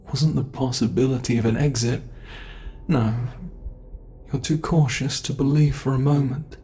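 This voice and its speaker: A British man voicing a cruel male monster that hunts a captive, in an ASMR horror roleplay, with a slow, taunting and menacing manner and a gravelly voice. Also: medium pitch, darkish tone.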